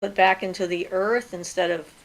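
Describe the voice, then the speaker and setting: A woman's voice: on the low side, steady tone, normal speed. An older woman hosting a livestream from home, chatting casually and answering viewers' questions as she thinks aloud. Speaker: host of a magic Q&A livestream.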